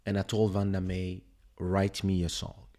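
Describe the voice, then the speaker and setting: A man with a French accent, a native French speaker, hosting a livestream listening party in relaxed, rambling casual chat with many fillers. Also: low voice, unhurried. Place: home streaming setup. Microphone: studio desk microphone.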